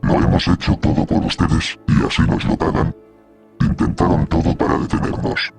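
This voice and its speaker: A man playing a menacing, monstrous horror villain with a gravelly growl and a slow, ominous delivery over music. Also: low-pitched, flat delivery, a little mellow. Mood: cold menace. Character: menacing monstrous villain speaking doom to humanity. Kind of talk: analog horror voice acting.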